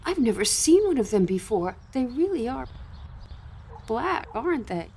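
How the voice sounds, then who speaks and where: A woman's voice: a little high, sing-song, normal speed, warm tone, a little hiss or hum. A woman playing a distraught, pleading middle-aged mother in an audio drama, her delivery emotional and faltering. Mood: shocked, fearful.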